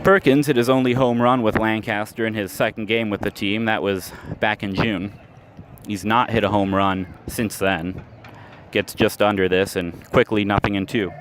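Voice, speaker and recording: A man calling baseball play-by-play for radio, setting the action against stats in a steady, measured delivery, recorded live at an outdoor ballpark. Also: lowish voice. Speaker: play-by-play announcer. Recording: noisy outdoor ballpark ambience.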